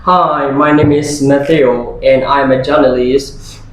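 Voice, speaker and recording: A man in his twenties from Brazil, a Portuguese speaker being interviewed about his identity, talking slowly and thoughtfully. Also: mid-range voice, steady tone. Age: 20s. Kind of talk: scripted interview role-play. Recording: very echoey room.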